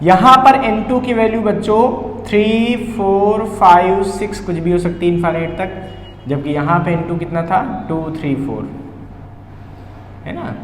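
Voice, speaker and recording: A man with an Indian accent teaching physics step by step, asking questions and answering them himself, with English technical terms mixed in. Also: high voice. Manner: mixes English technical terms into his talk. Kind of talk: step-by-step problem-solving lesson. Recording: echoey reverberant room, faint background noise.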